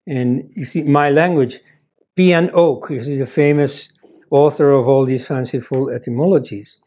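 A man's voice: medium pitch, steady tone, normal speed, dark tone. An older man whose first language is Dutch, seated at a desk and giving a conversational talk with many "you see" asides. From Belgium. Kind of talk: lecture-style talk. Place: office room indoors.

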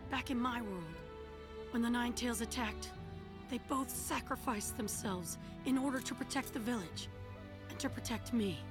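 Woman acting teenage boy hero, high raspy voice, headstrong, anime cartoon style, background score. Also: normal speed, music in the background, natural voice. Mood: somber, subdued. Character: brash, stubborn teenage boy ninja hero. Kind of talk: English-dubbed anime acting.

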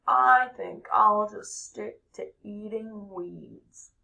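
A woman doing a slow, drawling storybook cow. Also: mid-range voice, creaky, sharp tone, exaggerated voice. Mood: unimpressed. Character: slow, sensible female cow. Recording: echoey room.

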